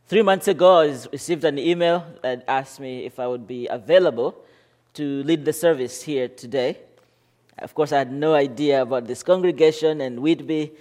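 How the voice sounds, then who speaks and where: Malawian-born pastor leading a church service. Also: male voice, on the high side. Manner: warm, earnest, halting with fillers. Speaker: guest pastor.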